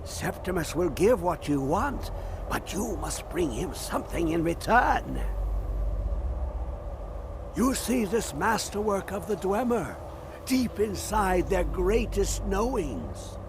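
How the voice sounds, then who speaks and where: An actor playing a mad, rambling old hermit scholar in a fantasy video game, singsong, erratic and cryptic. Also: male voice, high-pitched, very animated, slow, heavily acted. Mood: sly, boastful. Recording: clear background game ambience noise.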